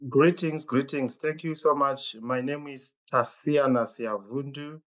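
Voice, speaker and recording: A man's voice: medium pitch, fairly even intonation, fairly bright tone. A man, an organizational development officer, introducing himself politely and evenly on a video call. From Zimbabwe. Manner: polite, measured. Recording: muffled video-call audio.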